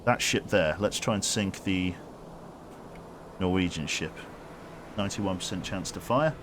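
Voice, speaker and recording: A man streaming a submarine game, calmly giving orders aloud and commenting as he plays. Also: sits low, unhurried, noisy background. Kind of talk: gameplay commentary. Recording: game music loud behind.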